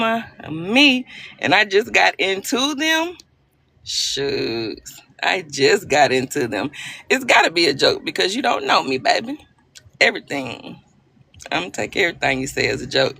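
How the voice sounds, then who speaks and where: A chatty, playful woman talks to her viewers over a live stream, her voice gravelly with a bright, forceful edge. She laughs easily and greets people by name. The phone recording is close and dry. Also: sits low, no room sound. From Arkansas, US South. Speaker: livestream host.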